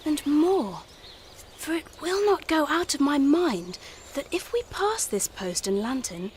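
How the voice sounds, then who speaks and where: Woman playing an animated, high-voiced noble queen. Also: unhurried, slight background noise. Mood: earnest. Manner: archaic, formal, courtly speech. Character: noble young queen, eager and regal. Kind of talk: theatrical fantasy audio drama.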